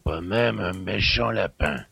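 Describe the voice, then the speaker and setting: A man playing a gravelly, very deep, surly innkeeper. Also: measured pace, a bit bright, exaggerated voice. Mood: grudging. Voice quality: gravelly, rough. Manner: gruff, curt, unwelcoming. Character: surly, suspicious male country innkeeper. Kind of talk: audio drama acting.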